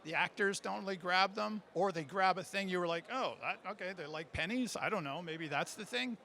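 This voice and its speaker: A man giving a writing workshop, answering audience questions in an animated, informal way that often stops and restarts. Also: high-pitched, creaky. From Canada. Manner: animated, informal, halting, self-interrupting. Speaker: speaker giving a writing workshop, audio fiction creator. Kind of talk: conference talk question and answer.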